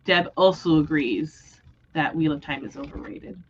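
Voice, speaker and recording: A woman's voice: deep voice, measured pace, slightly rough, dull audio. A woman hosting a book readalong livestream, talking casually about books. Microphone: livestream microphone.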